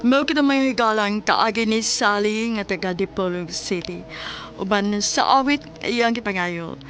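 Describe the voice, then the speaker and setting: A woman's voice: mid-range voice, measured pace, over music. A woman with a Bisaya accent reading scripted announcements as the presenter of a radio drama.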